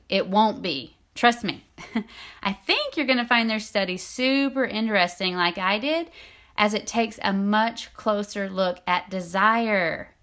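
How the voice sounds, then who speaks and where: A woman's voice: medium pitch, fairly bright tone. A middle-aged woman with a soothing voice, hosting her own podcast as an intimacy coach in a friendly, chatty way. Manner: friendly, chatty, laughs lightly. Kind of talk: solo podcast talk, partly scripted.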